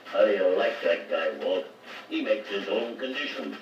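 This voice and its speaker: A man's voice: on the low side, lively intonation, moderate pace, a little hiss or hum, close to an everyday voice. An actor playing a folksy, confident American car mechanic with plain working-man speech in a 1950s training filmstrip. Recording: muffled, echoey 1950 record, distant, music behind.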